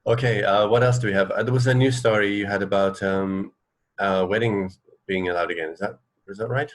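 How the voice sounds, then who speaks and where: A man's voice: lowish voice, steady tone, a little fast. A man co-hosting a radio show in casual, easygoing, slightly hesitant chat. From England.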